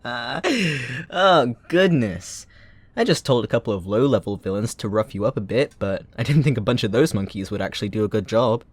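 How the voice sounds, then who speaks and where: A man's voice: medium pitch, expressive, a little slow, a little mellow, husky, dry recording. A man playing a gloating, sarcastic supervillain who taunts a captive hero in a mocking, playful, theatrical way, in a roleplay audio drama. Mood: smug amusement.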